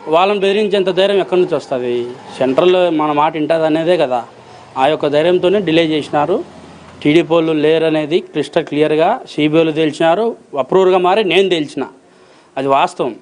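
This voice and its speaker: A man's voice: fairly high pitch, fairly quick, darkish tone. A man with a Rayalaseema Telugu accent answering interview questions in a colloquial, emphatic and insistent way, repeating phrases and posing rhetorical questions. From Rayalaseema, Andhra Pradesh, India. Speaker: interview guest, approver witness in a criminal case.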